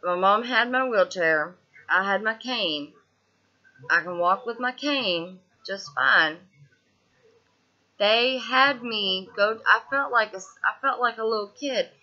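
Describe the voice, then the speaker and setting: A woman's voice: medium pitch, slow, dull audio. A woman in her twenties with a Southern US twang, venting in a casual video diary with lots of fillers and restarts, recorded indoors on a laptop webcam. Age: 20s. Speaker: vlogger. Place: small indoor room, seated on a couch.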